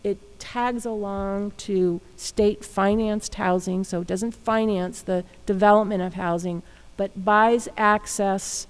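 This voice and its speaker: A woman official giving formal, halting testimony about programs at a public hearing. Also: mid-range voice, fairly even intonation, clear, resonant tone, poor audio. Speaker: state housing official. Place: government hearing room.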